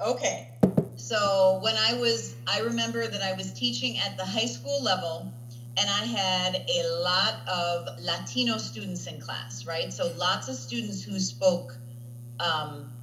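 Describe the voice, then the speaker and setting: Bright-voiced chatty woman teacher. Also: medium pitch, reverberant, slight background noise, rough recording. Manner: chatty, tags statements with "right?". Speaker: language teacher. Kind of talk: interview conversation.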